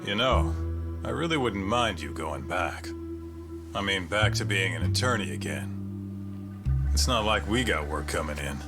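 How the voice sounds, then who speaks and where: Casting: street-rough detective sidekick in a game drama, low voice, guitar music behind. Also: male voice, animated, moderate pace, long pauses. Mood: blunt, persuasive. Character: rough, blunt male detective partner, loyal and casual. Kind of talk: casual video game cutscene dialogue.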